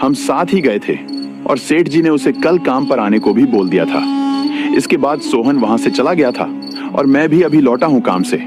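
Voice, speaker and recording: Guy doing a greedy sneaky village man in a cartoon story, medium pitch, music under it. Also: animated, normal speed, natural voice. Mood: falsely reassuring. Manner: sly, falsely reassuring. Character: greedy, scheming adult village labourer, deceitful. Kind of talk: Hindi moral story cartoon.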